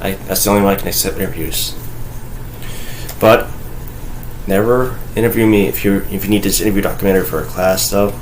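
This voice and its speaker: Low-voiced man ranting in a halting vlog. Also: fairly even intonation, slight background noise, poor audio. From North Carolina, USA (raised there, born in Okinawa, Japan). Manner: rambling with many halting restarts, blunt and profane. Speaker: vlogger. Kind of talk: casual ranting monologue. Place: at home.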